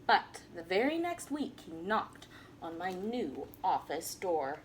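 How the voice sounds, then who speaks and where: A woman teacher reading a rhyming picture book aloud in an animated, sing-song way. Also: on the low side, slow, background noise. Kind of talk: storybook read-aloud.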